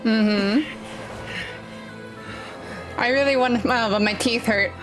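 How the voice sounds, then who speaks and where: A woman's voice: fairly high pitch, slow pace, music in the background, low-quality recording. A woman reacting casually to a video game in short, giggly interjections and murmured comments. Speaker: gaming reactor.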